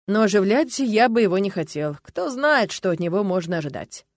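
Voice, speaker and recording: A woman's voice: mid-range voice, expressive, moderate pace, no echo, clean studio sound. A woman voicing a courteous, wry male castle spirit in an audiobook, thoughtful and mildly mocking. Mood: thoughtful, wary. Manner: thoughtful, sighing, lightly mocking.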